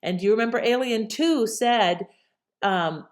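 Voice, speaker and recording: A woman's voice: fairly high pitch, a little slow. A woman hosting with casual, inquisitive commentary addressed to viewers. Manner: conversational, inquisitive. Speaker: channel host.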